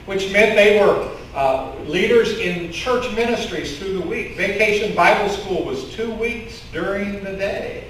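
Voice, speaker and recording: A man's voice: sits high, a bit bright, a little hiss or hum. A middle-aged man with a Kentucky accent preaching a sermon to his congregation, telling stories and gesturing as he speaks. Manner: conversational storytelling preacher, gestures with open hands. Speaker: pastor. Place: church sanctuary in front of a curtain. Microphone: stand mic with headset mic, filmed from the congregation. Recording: echoey sanctuary, voice from a distance.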